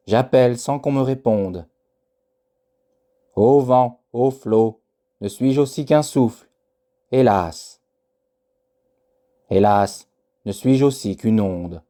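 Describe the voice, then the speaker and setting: Slow, very dark-voiced man reciting poetry. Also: mid-range voice. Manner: solemn, measured, with deliberate pauses. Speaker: poetry reader.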